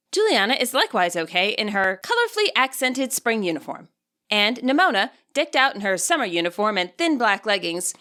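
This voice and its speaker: A woman reading scripted fiction aloud as an expressive audiobook narrator. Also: mid-range voice.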